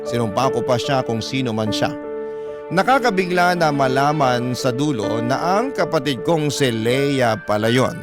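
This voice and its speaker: A man narrating a love story as a Filipino radio storyteller, measured and sentimental. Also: medium pitch, expressive. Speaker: radio storyteller reading a letter sender's story. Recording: prominent music bed behind voice.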